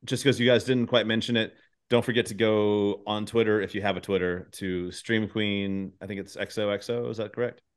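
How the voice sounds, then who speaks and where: A man's voice: lowish voice, steady tone, normal speed, clean tone. A man hosting a podcast interview, chatting in an informal, effusive way. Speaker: podcast host. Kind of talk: casual interview chat.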